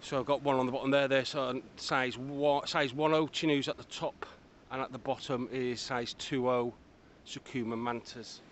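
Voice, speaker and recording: A man talking casually to his camera while fishing on the shore at dusk, calmly listing his tackle with small hesitations. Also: mid-range voice, moderate pace, few pauses. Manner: laid-back, matter-of-fact, lists tackle in detail with small hesitations. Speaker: angler filming his fishing trip. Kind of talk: casual running commentary to camera. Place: outdoors on a windy seashore at dusk. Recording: loud wind and surf over the voice.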